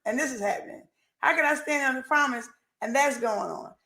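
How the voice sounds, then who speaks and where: A woman's voice: high voice, brisk. A woman in her forties hosting a podcast over a video call, chatting casually and repeating phrases for emphasis. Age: 40s. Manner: animated, repeats phrases, faith-filled talk. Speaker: podcast host. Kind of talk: casual chat. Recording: echoey room.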